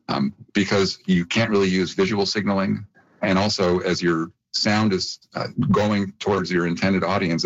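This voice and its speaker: Deep-voiced man, researcher, measured delivery, muffled lo-fi audio. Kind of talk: interview clip.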